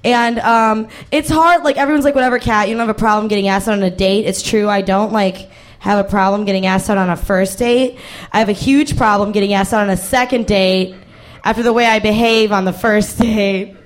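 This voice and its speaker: A young woman performing a stand-up comedy set on stage, brash and profane, with a hoarse voice that carries. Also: medium pitch, fairly even intonation, fairly quick. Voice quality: hoarse, carrying voice. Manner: brash, profane, self-mocking. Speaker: stand-up comic. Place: comedy stage with live audience.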